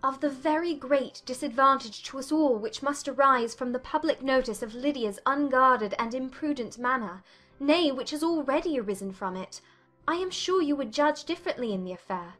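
An earnest, sensible young heroine, played by a woman, bright and high in pitch. She pleads plainly and seriously in a dramatized audiobook over soft music. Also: normal speed.

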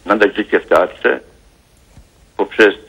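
A man calls in to a TV talk show, speaking formally and hesitantly, with reflective pauses. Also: lowish voice, gravelly, a bit bright, slightly rough. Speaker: caller. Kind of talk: phone-in call to a TV talk show. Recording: dull phone line.

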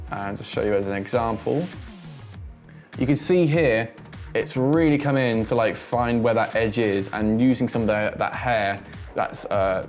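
A man in his twenties giving a casual, chatty software tutorial, thinking aloud as he demonstrates. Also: mid-range voice. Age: 20s. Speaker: host, tutorial presenter.

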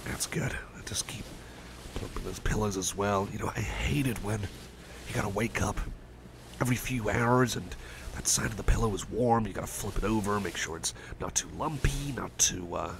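A man playing a gentle cyborg friend, fry-edged. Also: on the low side, moderate pace, noisy background. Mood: soothing. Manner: soft, hesitant and rambling, with many fillers and restarts; drops to soft whispers at times. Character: gentle caring male cyborg friend, young adult. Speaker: ASMR roleplay creator. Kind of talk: ASMR roleplay, personal attention.

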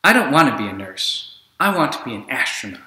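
A man's voice: medium pitch, sing-song, a little fast, sharp tone, slight background noise. Man reading as an earnest young dreamer girl. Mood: determined. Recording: echoey room.

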